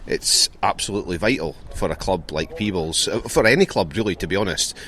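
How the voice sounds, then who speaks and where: Male coach, low pitch, measured and hesitant, faint outdoor noise. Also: animated, bright, forceful tone. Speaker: rugby club coach being interviewed. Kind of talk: pre-match sports interview.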